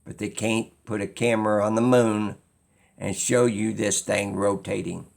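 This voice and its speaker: A man with a fairly low, gravelly voice full of fry speaks at a very unhurried pace. He delivers an unscripted opinion monologue in an insistent, rambling way, often repeating himself to drive a point home. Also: fairly even intonation, darkish tone. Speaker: video creator.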